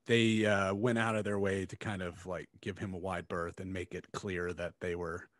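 Middle aged guy on a podcast, lowish voice, blunt and sweary, super dry close mic. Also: level tone, moderate pace, fairly bright tone, no room sound. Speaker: podcast co-host. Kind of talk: casual book review chat. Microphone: close podcast microphone with headphones.

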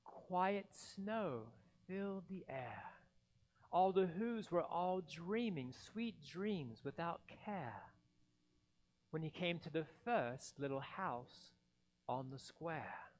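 A man reads a children's storybook in a theatrical, highly animated voice. His delivery is very slow, broken by deliberate dramatic pauses, and sits a little high for a man. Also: poor audio. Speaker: pastor reading a children's story. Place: indoors in a church by a Christmas tree. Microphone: headset mic.

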